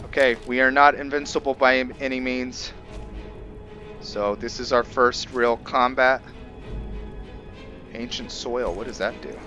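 A man giving casual gameplay commentary as host, thinking aloud in a halting way. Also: medium pitch, muffled recording, music in the background. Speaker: gaming video host.

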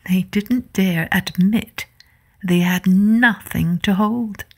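A woman narrating a children's storybook as a podcast host, in an expressive, deliberate manner. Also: medium pitch.

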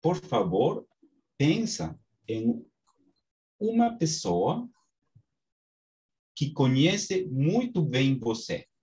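A man from Peru with a Peruvian Spanish accent, teaching an online class in Portuguese with slow, halting speech and many restarts, over a video call from a small home study. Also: on the high side, very animated, a little mellow. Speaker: course instructor. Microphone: webcam on video call.

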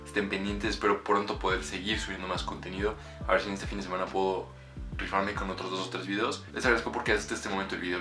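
A man in his twenties with a Mexican accent, chatting casually and with slang as he gives a music reaction. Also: sits low. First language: Spanish. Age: 20s. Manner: informal, slangy, swearing. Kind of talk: casual reaction and review chat. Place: small bedroom, indoors. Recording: roomy bedroom echo, faint music.

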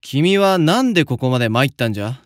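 An actor playing a confident, kindly senior samurai student with a Yamaguchi accent and old-fashioned turns of speech, in a voice drama. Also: male voice, mid-range voice, very animated, brisk, no room sound, clean studio sound, close to an everyday voice. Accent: Yamaguchi (Choshu). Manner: old-fashioned, breezy authority. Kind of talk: anime-style voice drama.

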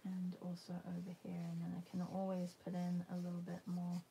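A woman giving a casual painting demo, thinking aloud in a halting, musing way and murmuring to herself as she works. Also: fairly low pitch, completely flat delivery, gravelly, mellow, a little hiss or hum. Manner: halting, musing, murmurs to self while working. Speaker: art demonstrator. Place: indoors at a painting table.

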